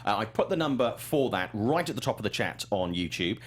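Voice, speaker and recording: Lively, low-voiced man hosting a chat show. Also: a little fast. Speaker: host of a movie news talk show. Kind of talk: casual panel chat.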